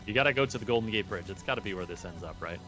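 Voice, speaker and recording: Playful low-voiced stream host. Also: male voice, lively intonation, rapid-fire, sharp tone, soft background music. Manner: upbeat, playful cheering. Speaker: stream host reading chat. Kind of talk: casual gameplay chat.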